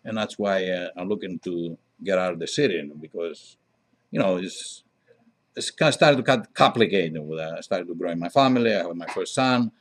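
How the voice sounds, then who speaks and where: A middle-aged Greek man speaks in a low-pitched voice with a Greek accent. He talks conversationally as an interview guest, picking his way through English as a second language in halting phrases with frequent pauses. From Greece. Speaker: interview guest, diner owner.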